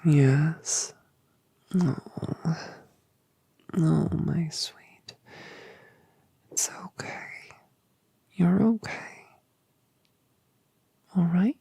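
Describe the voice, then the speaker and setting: A woman voicing a colossal, gentle, motherly dragoness in a whispered audio drama, soothing and affectionate with genteel phrasing. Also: fairly low pitch, drawn-out words, creaky, pauses often, slightly rough, heavily acted. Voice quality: soft whisper.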